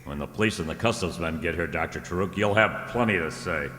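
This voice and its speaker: A man's voice: sits low, brisk, pushed and bright, slight background noise. A man acting a wry, tough private detective in an old-time radio drama, with a sardonic, wisecracking delivery. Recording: hall-like reverberant radio play recording.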